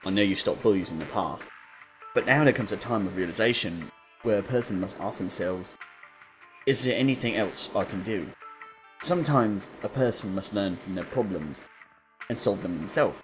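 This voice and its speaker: A man playing an older butler in an audio drama, formal, measured and deferential as he gives patient advice. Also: on the low side, soft background music, close to an everyday voice, rough recording. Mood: reflective, advising. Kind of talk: amateur audio drama acting.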